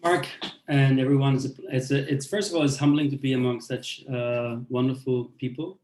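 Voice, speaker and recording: A man from Austria tells his personal story to an audience. His pitch sits in an everyday middle range. His talk is halting and full of fillers and restarts, and the room gives it a noticeable echo. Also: steady tone, a little mellow, slight background noise. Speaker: speaker on a panel. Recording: roomy echo.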